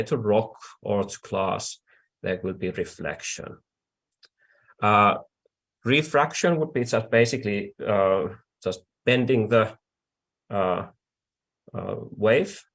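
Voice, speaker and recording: Male lecturer, Finnish accent, unhurried and halting, lowish pitch. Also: a bit bright. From Finland. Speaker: accordion artisan, presenter. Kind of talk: explanatory webinar lecture.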